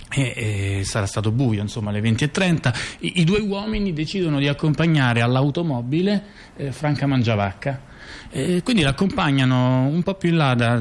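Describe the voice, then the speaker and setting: A man telling a story conversationally on stage, repeating words, stumbling and throwing in rhetorical questions. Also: medium pitch. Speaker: performer in a two-person theatre dialogue. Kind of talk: conversational storytelling to an audience member.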